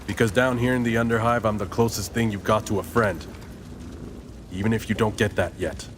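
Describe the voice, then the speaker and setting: A man's voice: on the low side, fairly even intonation, a little fast, a little hiss or hum, natural voice. An actor playing a cool, assured male gang leader in a video game, talking in a terse, dry way. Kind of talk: video game character dialogue.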